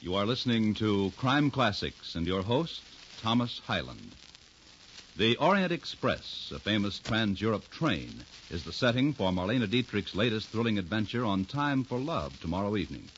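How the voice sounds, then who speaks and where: A man's voice: lowish voice, big pitch swings, moderate pace, a bit bright. A man with an American accent announcing in scripted 1950s network radio style. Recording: dull, faintly noisy 1950s radio recording.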